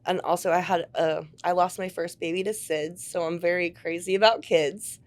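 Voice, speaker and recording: A woman with a slightly low voice talks about her life in a heartfelt, halting way. She pauses often and fills the gaps with small fillers. The tone is plain and sincere, like a chat across a table. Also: steady tone. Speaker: ministry volunteer. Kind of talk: casual interview talk.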